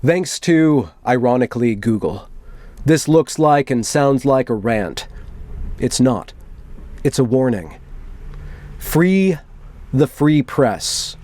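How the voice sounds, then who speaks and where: A man's voice: mid-range voice, expressive, slow, long pauses, darkish tone. A man voicing an aggrieved, self-important forum poster, reading his manifesto in a declamatory, theatrical way for an online video. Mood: solemn, ominous.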